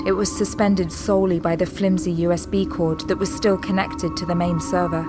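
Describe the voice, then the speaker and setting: Female narrator, lowish pitch, dramatic scripted storytelling, audio drama, prominent music underneath.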